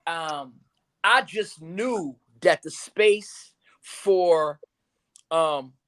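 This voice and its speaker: A man in casual podcast talk over a video call, laid-back and rambling, with frequent ums and slow, drawn-out stretches. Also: a little high, pushed and bright.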